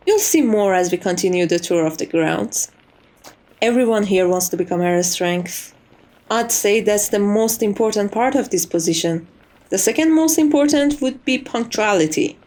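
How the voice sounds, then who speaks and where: A woman's voice: mid-range voice, a little mellow, lightly acted. A woman playing a composed, strict but fond chief maid in an ASMR roleplay, speaking formally and with polite condescension. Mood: fond, instructive.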